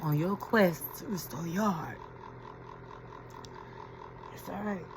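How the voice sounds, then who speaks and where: A woman's voice: on the low side, takes it slowly, background noise. A woman giving a reflective inspirational talk as a spiritual healing coach, repeating key phrases and laughing as she retells a story.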